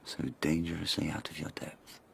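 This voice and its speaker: An actor playing an arrogant, sneering court nobleman in a radio drama, condescending and husky. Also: very deep voice, monotone, normal speed, exaggerated voice. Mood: scornful, menacing. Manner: haughty, condescending, drawling innuendo.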